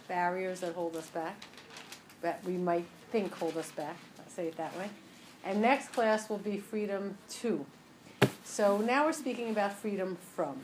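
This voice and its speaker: A woman from New Jersey leads a class for a small group in a home. She talks in a chatty way with small jokes, then slows down and stresses her points. Her voice sits at a middle pitch, with a faint hum of noise behind her. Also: rough recording. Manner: chatty, joking, then slow and emphatic when teaching. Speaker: class teacher. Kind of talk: relaxed class talk to a group.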